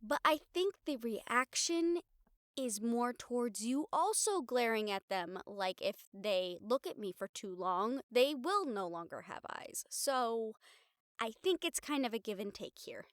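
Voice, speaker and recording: A woman's voice: a little high, animated, a little slow, fairly bright tone, no room sound, clean studio sound. A woman playing a wry, daring young reporter in an intimate audio roleplay, talking to her partner in a sardonic, sighing, casually sweary way. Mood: wry, exasperated. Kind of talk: intimate audio roleplay acting, conversational monologue to the listener.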